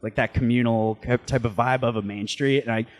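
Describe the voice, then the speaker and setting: A man's voice: medium pitch, steady tone, a little fast. Casual, rambling young man in conversation. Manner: loose, rambling, heavy use of "like". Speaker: band musician being interviewed. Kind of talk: casual chat.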